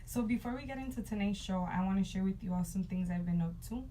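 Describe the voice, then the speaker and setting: A woman's voice: medium pitch, fast, warm tone, a little hiss or hum, rough recording. A woman hosting a talk show, speaking upbeat and conversational, with frequent ums and likes. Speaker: host.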